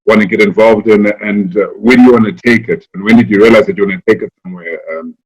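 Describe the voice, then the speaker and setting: A man's voice: lowish voice, fairly even intonation, fast talker, slightly rough. A man co-hosting a podcast, talking in a friendly, loose way with hesitant uhs. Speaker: podcast co-host. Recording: dull lo-fi sound.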